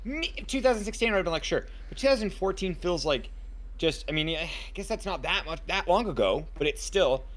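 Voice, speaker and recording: A man's voice: sits high, lively intonation, fairly quick, a bit bright. A man co-hosting a podcast in casual chat, playful and teasing toward his friend. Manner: playful, teasing, calls friend dude and homie. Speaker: podcast co-host.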